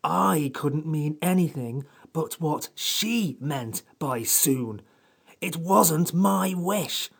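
Gravelly, slow, haughty sand fairy. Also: male voice, on the high side, lively intonation, pitched above the performer's own voice, heavily acted. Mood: withering sarcasm. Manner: slow, withering, pompous drawl. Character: grumpy sarcastic old sand fairy creature. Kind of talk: audiobook character voice.

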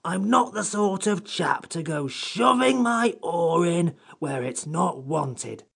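A man voicing a bossy, sulky magical sand creature in a storybook, with a raspy, grumbling delivery. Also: sits high, lively intonation, takes it slowly, a bit bright, voice pushed up, heavily acted. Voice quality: raspy, creaky.

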